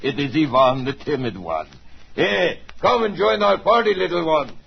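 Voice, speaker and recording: Gravelly, taunting young Cossack lieutenant. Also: male voice, on the high side, very animated, normal speed, exaggerated voice. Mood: mocking. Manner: taunting, swaggering. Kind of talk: 1940s radio drama acting. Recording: husky-sounding dull 1940s radio recording.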